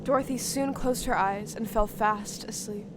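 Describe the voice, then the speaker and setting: A woman narrator giving a measured, expressive storybook narration in an audio play. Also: mid-range voice. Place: live stage performance before an audience. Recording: background noise, live audience stage recording.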